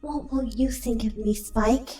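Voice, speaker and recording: A woman's voice: a little high, measured pace, mellow, close to an everyday voice. A woman voicing a tender, earnest young female pony with a secret, in a fantasy audio drama, over music. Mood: tender, wistful. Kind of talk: audio drama character acting.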